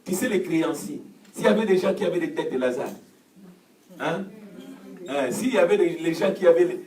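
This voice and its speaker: A man preaching with call and response in a church hall, fervent and repetitive, often shouting. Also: on the high side, lively intonation, brisk. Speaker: pastor. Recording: echoey hall, distant mic, congregation noise. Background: congregation answering.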